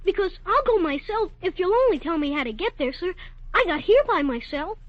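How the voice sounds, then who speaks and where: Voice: extremely high pitch, very animated, fairly quick, no room sound. An actor playing a determined, earnest young boy with folksy exclamations in 1940s radio drama. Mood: earnest, polite. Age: child character. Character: determined young boy searching for his lost dog. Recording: dull old radio recording.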